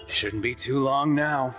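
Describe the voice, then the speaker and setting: A man's voice: mid-range voice, fairly bright tone. An actor playing a smug, scheming henchman in a video game, with a theatrical, taunting delivery. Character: smug, scheming villain henchman of a crafty boss.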